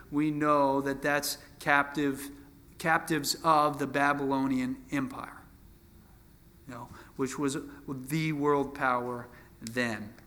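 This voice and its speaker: A man's voice: fairly high pitch, pushed and bright. A man in his twenties teaching from the Bible in an informal sermon, conversational and self-deprecating, often restarting his phrases. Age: 20s. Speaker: preacher.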